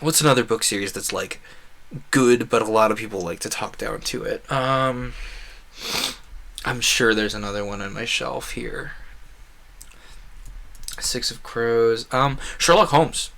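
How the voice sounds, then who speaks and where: A man with a bright, forceful voice talks as a livestream host in a casual, rambling way. He is earnest and opinionated, often slipping into imagined quotes to make a point. His pitch sits in an average male range. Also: measured pace, creaky, long pauses.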